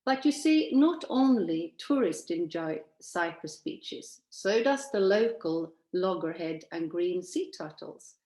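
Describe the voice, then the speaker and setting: A woman in her sixties from Sweden, giving an earnest, measured presentation over a video call with some hesitations. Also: on the low side, animated, warm tone, reverberant. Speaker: presenter at an online event. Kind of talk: presentation from shared slides.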